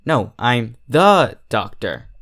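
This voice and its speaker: A man voicing a polite, self-assured male cartoon pony who speaks in a measured, emphatic way. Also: medium pitch, big pitch swings, a bit bright, heavily acted. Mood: confident.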